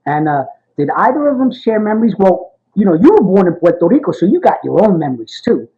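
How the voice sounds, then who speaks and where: Male archivist interviewer, friendly and affirming, slightly high voice. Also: big pitch swings, quick pace, lo-fi sound. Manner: friendly, affirming, keeps saying "got it" and "awesome". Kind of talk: oral history interview.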